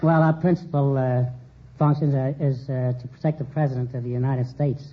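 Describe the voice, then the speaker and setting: An older man from New York City answering as a quiz show contestant in an earnest, halting, formal way. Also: medium pitch, fairly even intonation. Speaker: contestant, retired Secret Service agent. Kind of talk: unscripted quiz show interview. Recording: muffled vintage radio with hiss.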